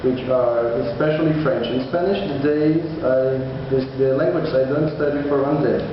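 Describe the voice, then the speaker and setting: A man's voice: medium pitch, fairly even intonation, normal speed. Hesitant man, echoey distant room. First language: Portuguese. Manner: hesitant, restarts often, laughs at himself. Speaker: vlogger. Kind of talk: casual study-update vlog. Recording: far-off echoey hall, dull lo-fi with noise.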